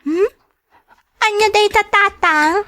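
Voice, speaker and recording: A woman's voice: very high voice, slow, bright, forceful tone, exaggerated voice. A woman with an Ilocano accent voicing an eager, affectionate little daughter in an audio drama, childlike in manner. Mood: delighted.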